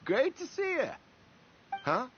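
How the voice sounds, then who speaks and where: High-pitched, cocky tipsy barfly. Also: male voice, huge pitch swings, slow, fairly bright tone, highs cut off, a little hiss or hum, full character voice. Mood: leering, excited. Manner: smarmy, familiar, theatrical. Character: tipsy, cocky, over-friendly male pest at a tavern. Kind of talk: video game voice acting.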